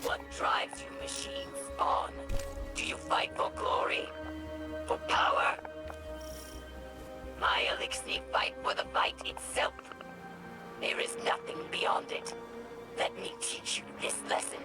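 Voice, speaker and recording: An actor playing a fierce, proud alien war general in a video game, taunting in rasping, breathy, clipped phrases. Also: slow, long pauses, music in the background, close to an everyday voice. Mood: contemptuous challenge. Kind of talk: video game villain dialogue.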